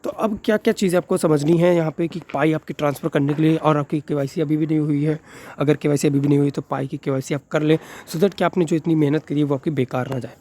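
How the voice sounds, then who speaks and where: Guy with a sore throat, talking soft and mellow, pretty high voice. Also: steady tone, quick pace. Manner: Hindi-English mix, chatty, frequent fillers. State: sore throat, speaking softly. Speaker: crypto video creator. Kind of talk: casual explainer talking to viewers.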